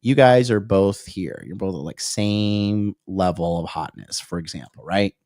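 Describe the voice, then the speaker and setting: A man's voice: sits low, animated, a little slow, darkish tone, no echo, studio quality. A male dating coach in his thirties, giving a whiteboard lecture on a livestream and peppering his points with "okay?" and "right?". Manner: reads out and answers viewer comments.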